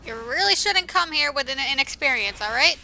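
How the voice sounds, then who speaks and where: A woman's voice: high-pitched, lively intonation, a little fast, sharp tone, a little hiss or hum. A woman giving casual video game commentary as host, with sarcastic asides, over music. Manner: sarcastic, mildly profane asides.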